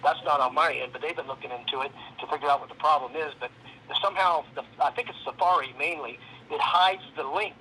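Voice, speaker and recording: A man's voice: on the high side, fast talker, pushed and bright. A man on a phone call, explaining things conversationally as a program representative. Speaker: legal defense program representative. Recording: phone call with faint background noise.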